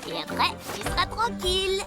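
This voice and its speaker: A performer voicing a brash, scheming prehistoric child in a cartoon, excitable and taunting. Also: very high-pitched, normal speed, heavily acted. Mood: pushy, scheming. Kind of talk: animated cartoon voice acting in French. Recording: loud cartoon music behind the voice.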